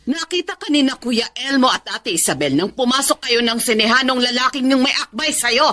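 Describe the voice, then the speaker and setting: A woman's voice: high voice, animated, a little fast, bright, forceful tone. An actress playing a worried, reproachful middle-aged mother and grandmother in a Filipino radio drama, with a Filipino accent. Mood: angry, accusing. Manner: reproachful, fretful.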